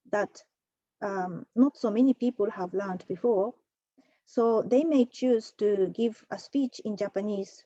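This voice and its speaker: A woman presenting a prepared academic talk at an online conference, measured, with a few fillers. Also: mid-range voice, mellow, no room sound, highs cut off. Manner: measured, reads from a prepared text with occasional fillers. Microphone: computer mic for an online talk.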